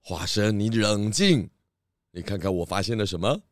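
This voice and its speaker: A man's voice: fairly low pitch, lively intonation, moderate pace, very noisy, lightly acted. A man playing a portly, confident pig detective in a children's detective audio drama, theatrical and full of exclamations. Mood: pondering, confident.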